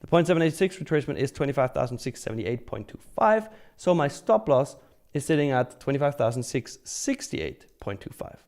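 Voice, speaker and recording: A man's voice: medium pitch, big pitch swings, a little fast, a little mellow. A man hosting a live trading stream, chatting casually and informally as he comments and answers viewers, joking and swearing freely. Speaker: live stream host, futures trader.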